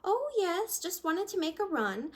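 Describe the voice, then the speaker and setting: A woman reading the part of a kindly, gentle elderly small-town woman in a short story. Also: extremely high pitch, big pitch swings, measured pace, pitched above the performer's own voice, heavily acted. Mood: chatty.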